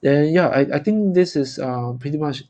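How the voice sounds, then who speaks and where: A man from Singapore with a Singaporean accent gives informal commentary as a trader, in a halting, repetitive, stop-start way. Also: a little high, warm tone, lo-fi sound. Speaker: forex trader presenting market analysis. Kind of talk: informal live chart commentary.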